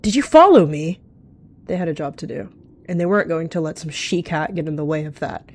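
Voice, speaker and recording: Low-pitched woman narrating a roleplay. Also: steady tone, quick pace, a little mellow. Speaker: roleplayer narrating and voicing cat characters. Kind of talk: improvised third-person roleplay narration.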